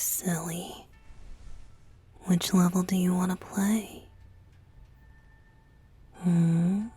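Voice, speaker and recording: A woman playing a playful, affectionate young male idol boyfriend in an intimate ASMR roleplay, soft and often close to a whisper. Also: lowish voice, slightly rough, no echo, exaggerated voice. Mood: soft, coaxing. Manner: teasing, coaxing, giggly, slow with pauses. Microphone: close studio microphone, dry.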